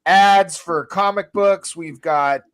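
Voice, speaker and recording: A man's voice: sits high, takes it slowly, a bit bright, clear, resonant tone. A man chatting casually on a livestream as a guest promoting his show.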